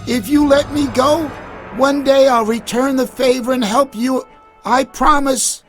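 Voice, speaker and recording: A man's voice: very high voice, fairly even intonation, measured pace, faint music behind, voice pushed up. A man voicing a small, curious and brave female mouse in a storybook, with an earnest, pleading manner.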